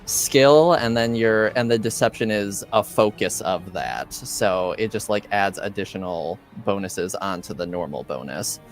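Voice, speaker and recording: A man running a tabletop roleplaying game casually as game master, halting and often searching for words. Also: on the low side, expressive, soft background music.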